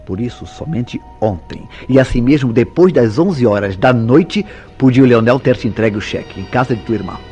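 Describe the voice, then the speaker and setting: A man's voice: mid-range voice, normal speed, dark tone, natural voice. An actor playing a suspicious, jealous man in a Brazilian radio soap opera, speaking in formal, insistent, theatrical tones. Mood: accusing, insistent. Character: suspicious, jealous man relentlessly interrogating a woman. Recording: radio drama with background music.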